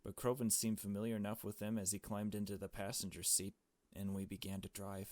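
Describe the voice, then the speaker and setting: A man reading a visual novel aloud as a gamer, in expressive storytelling narration broken by casual reactions. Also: fairly low pitch, brisk, no echo.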